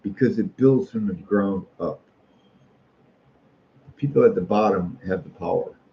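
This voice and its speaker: A man's voice: fairly low pitch, measured pace, gravelly. A middle-aged American man in a casual, rambling monologue, speaking in a folksy, opinionated way and repeating phrases for emphasis. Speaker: street artist. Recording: muffled lo-fi audio with faint noise.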